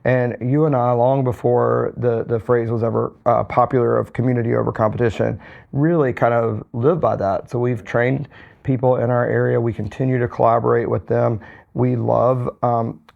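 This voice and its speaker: A hoarse middle-aged man, rambling easily through podcast chat. His voice is deeply gravelly and stays flat in pitch. The recording sounds a little dull and lo-fi. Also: moderate pace. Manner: relaxed, rambling with many fillers and restarts. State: hoarse, recovering from a cold. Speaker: podcast co-host, physician.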